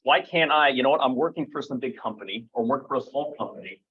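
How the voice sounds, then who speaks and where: A man's voice: mid-range voice, fast talker. A man filling in as emcee, casually introducing the evening's speaker with many fillers. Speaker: stand-in emcee. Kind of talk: event introduction. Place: meeting room. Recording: dull lo-fi room recording.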